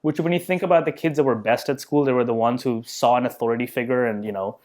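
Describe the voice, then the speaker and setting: A man's voice: medium pitch, steady tone, quick pace, darkish tone. Podcast guest, male, thirties, easygoing, humble, thinks aloud. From India. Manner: thoughtful, self-deprecating, filler-heavy. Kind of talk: casual interview conversation.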